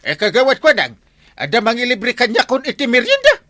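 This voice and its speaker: Lively, bright-voiced village captain played by a man. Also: very high voice, brisk, no echo, clean studio sound, full character voice. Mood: jovial, wheedling. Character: jovial village captain cadging free snacks. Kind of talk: Ilocano comedy drama acting.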